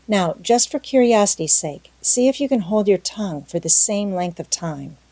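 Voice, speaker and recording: A woman's voice: mid-range voice, animated, normal speed, dark tone, dry recording, natural voice. A woman voicing a stern, practical middle-aged farm woman in an audiobook, with a dry, reproving and resigned manner. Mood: dryly reproving. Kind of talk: audiobook character acting.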